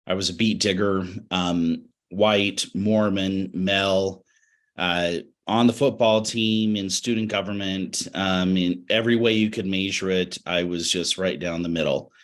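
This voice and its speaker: A middle-aged American man with a slightly raspy, cold-affected voice, speaking as an interview guest in a measured, halting, earnest way. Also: low voice, fairly even intonation. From Utah, United States. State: has a cold. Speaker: interview guest, education professional. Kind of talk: reflective webinar interview.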